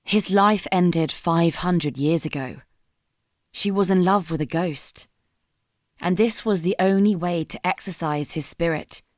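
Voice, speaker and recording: A woman narrator reads dramatic fiction in a somber, measured way. Her voice sits a little low, and the recording is dry and close to room-free. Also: dry recording. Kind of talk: dramatic scripted story narration.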